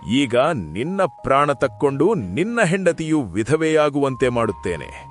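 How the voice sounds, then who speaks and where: A man's voice: medium pitch, big pitch swings, unhurried, faint music behind. A man playing a foolish, hot-tempered king with pompous, commanding delivery in a cartoon folk tale. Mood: angry, thundering. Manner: pompous, theatrical declaiming.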